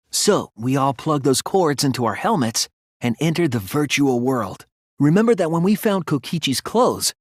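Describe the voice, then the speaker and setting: A man's voice: mid-range voice, a bit bright, breathy edge, no echo, clean studio sound. An actor playing an earnest young male detective student in a video game, reasoning carefully step by step. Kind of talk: video game voice acting, deductive explanation.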